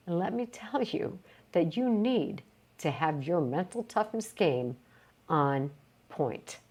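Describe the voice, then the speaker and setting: A woman reading a motivational self-help book aloud, with emphatic, deliberate phrasing and short pauses. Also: lowish voice. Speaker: reader of a book read aloud.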